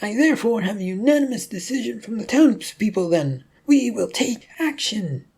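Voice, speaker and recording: A man's voice: high-pitched, animated, moderate pace, darkish tone, husky, voice pushed up, full character voice. A man voicing a deluded, defiant male town selectman in a storybook, proclaiming in a grandiose, dramatic way.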